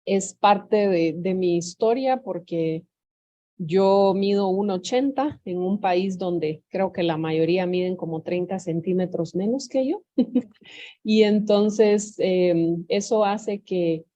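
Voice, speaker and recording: A woman's voice: mid-range voice, takes it slowly, warm tone, highs cut off. A woman from Guatemala, sharing her personal story as an invited speaker, hesitant and full of fillers, over a video call. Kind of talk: personal testimony.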